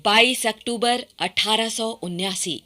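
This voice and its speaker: A woman's voice: fairly high pitch, unhurried, bright, forceful tone. A woman giving formal, dramatic scripted narration for a radio play.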